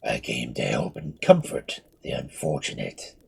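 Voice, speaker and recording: A man voicing an ancient, sinister giant mummy, speaking slowly and low with a breathy edge. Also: huge pitch swings, exaggerated voice. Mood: solemn. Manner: slow, low and menacing, sinking to a whisper. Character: ancient gigantic mummy, male, sinister and mocking.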